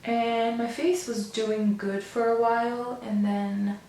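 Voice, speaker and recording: A young woman with a slightly hoarse, raspy voice from a sore throat, chatting casually and rambling while doing her makeup. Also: a little high, steady tone, slow, a little mellow, far from the mic. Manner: rambling, many ums and restarts. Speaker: beauty vlogger. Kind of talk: casual get-ready-with-me chat. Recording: very echoey room, faint noise.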